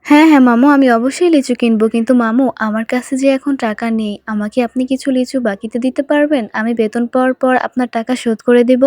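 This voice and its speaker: A woman voicing a weary, poor mother in a children's cartoon, explaining and gently scolding in an exasperated but affectionate way. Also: fairly high pitch, fast, few pauses, dry recording, lightly acted. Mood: earnest protesting.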